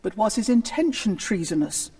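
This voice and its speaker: In a reverberant hall, a woman reads as a troubled British king of Tudor times, proud and torn by doubt. The voice is slightly husky, in a storybook telling. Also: medium pitch, sing-song, moderate pace, a little mellow.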